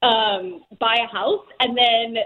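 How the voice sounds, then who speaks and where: A woman speaking as a personal finance expert guest on a talk show, quick and chatty, full of "like" and "you know" fillers. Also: fairly high pitch, phone-quality sound. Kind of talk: casual talk show chat.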